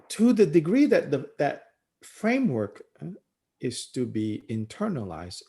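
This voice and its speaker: Man, middle-aged, Buddhist teacher, gravelly voice, lively rising and falling pitch, reflective and unhurried. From Malaysia. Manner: thoughtful, unhurried, many "you know"s and pauses, chuckles often. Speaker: Buddhist teacher leading a book study. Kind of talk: reflective discussion talk.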